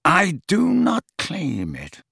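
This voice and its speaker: A man acts out a storybook double agent, a cold and condescending wizard. He speaks smoothly and deliberately with an impatient, sarcastic edge. The pitch moves expressively in a dry, close space. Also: wildly swooping pitch, bright, forceful tone, dry recording, full character voice. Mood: measured, condescending.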